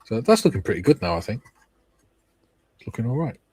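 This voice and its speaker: A man's voice: mid-range voice, big pitch swings, a little fast, a little mellow, breathy edge, no room sound. A man from Wales chatting casually on a live modelling stream, wry and dry, reading out viewer comments as he builds.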